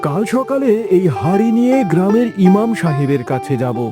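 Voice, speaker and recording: A man's voice: sits high, very animated, brisk, over music. A man playing an honest, humble village farmer in a cartoon, earnest and exclamatory. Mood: gently firm. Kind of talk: cartoon dialogue.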